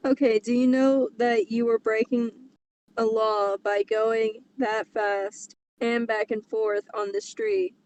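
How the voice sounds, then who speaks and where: Female co-host, higher register, informal read-aloud and commentary, slight background noise on the track. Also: little pitch movement, takes it slowly, a little mellow, lo-fi sound. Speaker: co-host reading fan fiction aloud.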